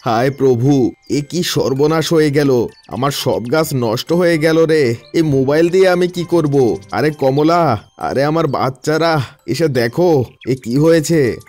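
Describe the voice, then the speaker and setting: A man voicing an excitable villager in a children's cartoon, speaking in exclamations and repeating words. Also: fairly high pitch, measured pace, soft background music, close to an everyday voice. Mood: alarmed. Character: excitable village brother and alarmed farmer father. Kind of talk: Bengali children's cartoon dubbing.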